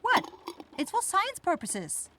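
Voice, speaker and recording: A woman's voice: very high voice, huge pitch swings, takes it slowly, very noisy, heavily acted. A woman voicing a caring, easily flustered young forest witch in an ASMR fantasy roleplay, speaking in hesitant, blurting phrases. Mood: focused, hesitant.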